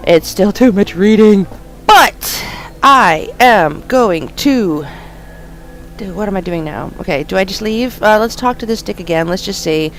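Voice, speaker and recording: Let's-play host: a woman with a mid-range voice, excitable and sweary. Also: medium pitch, measured pace, soft background music. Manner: excitable, swears often, reads dialogue aloud. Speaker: game streamer.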